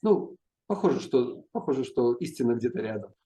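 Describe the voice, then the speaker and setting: A middle-aged man with a mellow, warm tone and an average pitch. He answers interview questions thoughtfully and carefully, often pausing and hesitating mid-sentence. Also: animated. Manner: measured, with frequent pauses and hesitations. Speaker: psychiatry professor, interview guest.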